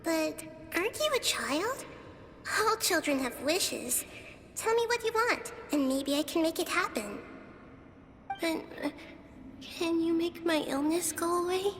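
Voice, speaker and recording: A woman voicing a small, innocent, kind girl in a fantasy game, with a gentle, childlike manner. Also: extremely high pitch, animated, a little slow, heavily acted. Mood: tender. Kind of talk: game dialogue. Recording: long reverb, faint background noise.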